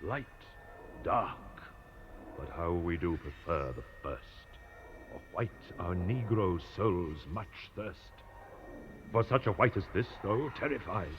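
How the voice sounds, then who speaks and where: An actor playing a blinded, obsessed spaceship captain, brooding and vengeful in a grandiose, incantatory radio-drama soliloquy. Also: male voice, sits low, animated, slow pace. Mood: brooding, poetic. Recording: dull 1960s radio recording with hiss.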